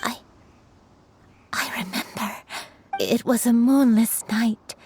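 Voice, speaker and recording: A woman voicing a frail, gentle, forgetful old grandmother in a video game, halting and wistful. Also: a little high, noisy background. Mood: wistful, pained. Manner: halting, wistful, soft-spoken.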